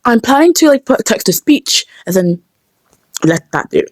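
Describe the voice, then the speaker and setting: A woman's voice: a little high, sing-song, normal speed, dry recording. A woman chatting casually and playfully muttering to herself as she makes videos. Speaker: video creator making comic dubs. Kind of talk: casual behind-the-scenes chat.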